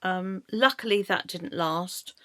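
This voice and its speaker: A middle-aged British woman with a slightly croaky voice, telling her own story in a halting way as she searches for words. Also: lowish voice, a bit bright, dry recording, studio quality. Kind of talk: personal storytelling monologue.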